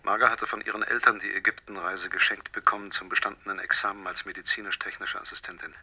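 Radio drama villain: menacing, coldly controlled caller; lowish, piercingly forceful voice, deliberate, mocking. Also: male voice, little pitch movement, gravelly, slightly rough, exaggerated voice. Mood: bitter, measured. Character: menacing, vengeful husband, coldly controlled anonymous phone caller.

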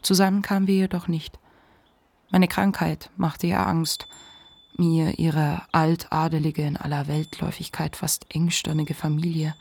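An actor playing a reflective, ashamed narrator confiding a memoir in a measured literary radio monologue. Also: medium pitch, level tone, gravelly, darkish tone, slightly rough, dry recording. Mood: reflective, confessional.

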